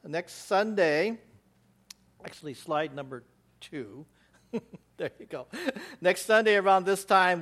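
An American missionary giving a church slide presentation, rambling with many fillers and restarts. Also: male voice, high-pitched. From United States.

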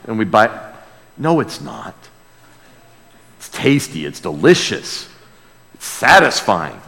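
A man's voice: fairly low pitch, very animated, takes it slowly, fairly bright tone. A man preaching a sermon, speaking emphatically with repeated key words and rhetorical questions. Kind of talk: expository sermon. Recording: hall with long reverb.

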